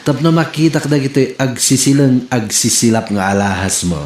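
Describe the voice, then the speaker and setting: A man's voice: medium pitch, lively intonation, fairly quick, darkish tone, roomy sound, a little hiss or hum, close to an everyday voice. A man playing a sly boyfriend who coaxes with sweet talk, in Ilocano radio comedy drama with an Ilocano Filipino accent. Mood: coaxing, teasing. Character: sweet-talking, sly boyfriend coaxing with drink and flattery.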